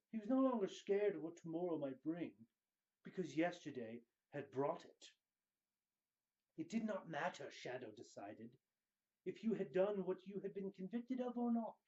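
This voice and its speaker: A man performs a grandiose dramatic reading in a slightly high, mellow voice. He takes his time, stretching phrases out with hammy pauses. The recording sounds a little dull and lo-fi. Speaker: show host giving dramatic readings.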